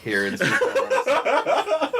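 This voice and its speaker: An American man chatting casually and haltingly as a podcast co-host. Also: very high-pitched, wildly swooping pitch, a crowd in the background. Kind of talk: casual chat.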